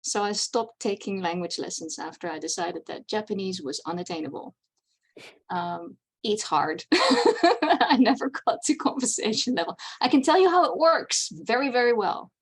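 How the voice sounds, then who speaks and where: A woman's voice: mid-range voice, sing-song, normal speed, breathy edge. A Dutch woman whose first language is Dutch, giving a casual, chatty convention presentation over a video call, with wry asides. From Netherlands.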